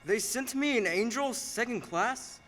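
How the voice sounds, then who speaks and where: A man acts out a heavenly superintendent of angels for a radio drama. The voice is high and forceful, with patient authority and the measured manner of someone briefing a junior. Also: animated, faint music behind, exaggerated voice. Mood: patient, instructing. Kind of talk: 1940s radio play acting. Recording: stage radio-play performance.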